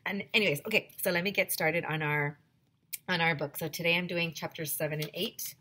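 A woman in her forties, a teacher, reading a chapter book aloud to her students in an animated storytelling way, on a headset mic at home. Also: on the low side, fairly quick. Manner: animated storytelling, switching voices for characters. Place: small home room decorated as a classroom. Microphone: headset microphone, webcam video.

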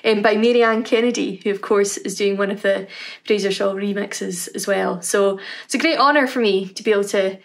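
A woman in her thirties with a Scottish Highland accent, talking casually to camera at home about her music, with frequent ums. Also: fairly even intonation, brisk, gravelly. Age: 30s. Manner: warm, chatty, with frequent ums and "kind of". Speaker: harpist introducing her piece. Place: home room indoors, dark wooden furniture behind.

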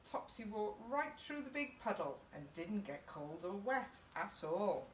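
A woman's voice: medium pitch, big pitch swings, a little slow. British woman doing story time for little kids, echoey room, mic a bit far off. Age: middle-aged. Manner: child-directed, asking listeners questions. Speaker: story time host reading to children. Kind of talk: children's picture book read-aloud. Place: small indoor youth group playroom. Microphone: camera a couple of metres away. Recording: echoey room, distant mic, faint background noise.

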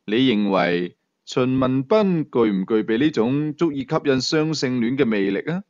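A man with a Hong Kong Cantonese accent voicing a cool, smug, calculating male investigator in an audiobook, unhurried and condescending. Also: mid-range voice, lively intonation, a little mellow, dry recording, muffled recording, natural voice. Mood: smug, probing.

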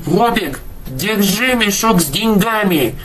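A performer voicing an excitable, money-hungry young red dragon in an amateur cartoon, with simple declarative delivery. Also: medium pitch, slow. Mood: eager. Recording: music behind the voice.

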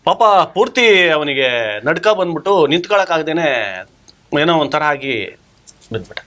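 A man's voice: a little high, very animated, unhurried, a bit bright. A man tells anecdotes in an informal way. He is an amateur stage actor and voices the people in his stories as he goes. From rural Karnataka, India. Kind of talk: casual anecdote telling.

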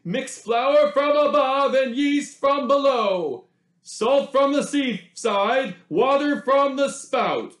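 Man putting on an excitable, high-pitched village baker. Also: slow, roomy sound, heavily acted. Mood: commanding. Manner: loud, declaiming, stretched-out words. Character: excitable male village baker in a picture book, bossy problem-solver.

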